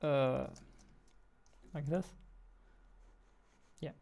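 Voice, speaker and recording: A man's voice: a little high, fairly even intonation, drawn-out words, creaky, a little mellow. A man in his twenties chatting casually and playfully on a livestream as he works, close on a studio mic. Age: 20s. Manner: playful, joking. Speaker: game developer streaming. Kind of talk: casual livestream chat while working. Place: indoors, small home room. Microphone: studio microphone on boom arm, headphones.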